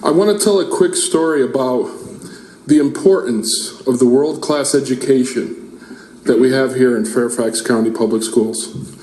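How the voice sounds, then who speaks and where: Man, 40s, parent at a public meeting podium: earnest, heartfelt prepared remarks with some halting restarts; echoey room. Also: mid-range voice, a little mellow. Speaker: parent giving public comment.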